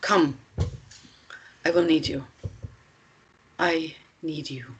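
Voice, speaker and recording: A woman playing a solemn, commanding female guide, deliberate and insistent, in a dramatic table read. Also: deep voice, steady tone, slightly rough, exaggerated voice, poor audio. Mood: solemn resolve. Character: Brida, solemn, commanding woman guiding a distraught companion. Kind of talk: screenplay table-read acting.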